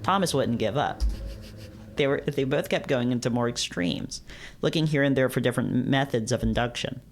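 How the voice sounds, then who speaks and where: A man's voice: mid-range voice, moderate pace, a little hiss or hum. A man reading stories aloud casually, playfully adding asides and stumbling over unfamiliar words. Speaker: video creator reading visual novels aloud. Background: music.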